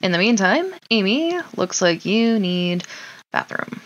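A woman's voice: medium pitch, big pitch swings, a little slow, muffled recording, slight background noise. A woman casually commenting on her life-simulation gameplay, chatting to her sims and laughing often.

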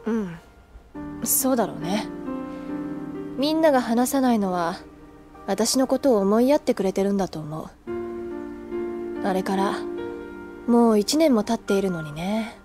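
Woman doing a laid-back teasing senior schoolgirl, anime drama cd, kinda wistful, music clearly behind her. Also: medium pitch, lively intonation, unhurried, pauses often, natural voice. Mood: candid, calm. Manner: languid, casual, teasing. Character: teasing, wistful older schoolgirl, candid upperclasswoman. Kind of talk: anime audio drama acting.

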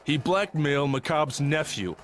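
A man playing a menacing mob henchman who talks slowly and ominously in a video game. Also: on the high side, animated, fairly bright tone, faint music behind. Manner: slow, ominous. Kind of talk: video game cutscene voice acting.

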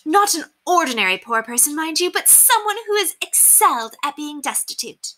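A haughty, spoiled lady of the manor from a storybook. Her voice is very high and swoops dramatically. She is pompous and affected, stressing her words with bright force. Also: big pitch swings, normal speed, exaggerated voice. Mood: appalled, disdainful.

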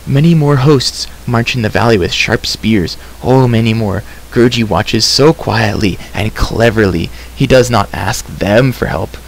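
A man's voice: lowish voice, poor audio. Man voicing a whimpering, grovelling little creature, hiss behind. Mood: meek, self-pitying. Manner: whimpering, wailing, drawn-out rhyming third-person speech. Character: small shaggy whimpering creature, grovelling and self-pitying. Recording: clear background hiss.

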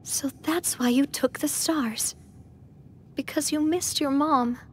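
A woman's voice: sits high, slow, slight background noise. An actress playing a spirited, kind-hearted young woman in a video game, speaking frankly and expressively. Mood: thoughtful, sympathetic. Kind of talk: animated video game dialogue.

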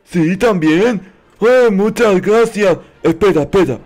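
A man's voice: high-pitched, takes it slowly, darkish tone. A man voicing a naive, dim-witted childlike noob in improvised comedic video game roleplay, with simple, repetitive phrasing. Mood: naive, cheerful.

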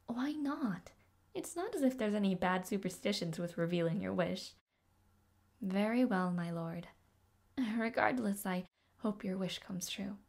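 A woman's voice: mid-range voice, gravelly. A woman playing a devoted young maid of noble birth in an audio roleplay, speaking politely and formally, with shy hesitations. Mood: gentle, wistful. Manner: polite, soft-spoken, flustered hesitations, formal diction. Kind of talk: audio roleplay addressed to the listener.

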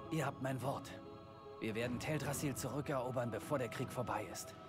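An actor playing a formal, measured and earnest young king in a fantasy video game. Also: medium pitch, loud music behind the voice, natural voice. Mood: earnest, placating.

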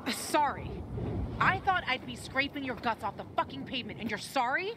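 Casting: hard-edged female survivor, high voice, animated, blunt game dialogue, noisy ambience. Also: a little fast. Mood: annoyed relief. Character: tough, foul-mouthed, blunt woman survivor. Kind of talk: dramatic video game acting.

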